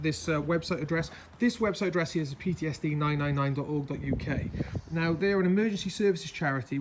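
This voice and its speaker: A hoarse man, chatting casually in a rambling, self-mocking way as he walks through his car for a vlog. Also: on the high side, a little hiss or hum. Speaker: car vlogger.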